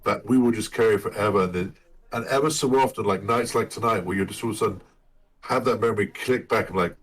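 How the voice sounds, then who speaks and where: A man co-hosting a casual chat about being a dad, telling funny stories in an animated way. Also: fairly low pitch, fast, poor audio. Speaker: podcast co-host. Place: lives in Michigan.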